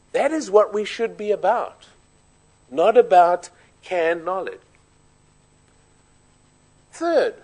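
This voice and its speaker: A man with a South African accent giving a formal conference speech, measured and emphatic, laying out his points in order. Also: high voice, low-quality recording.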